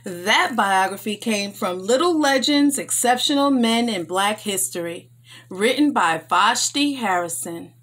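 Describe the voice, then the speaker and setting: A woman hosting a story time, reading aloud for children in an enthusiastic, expressive and emphatic way. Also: mid-range voice, a little slow.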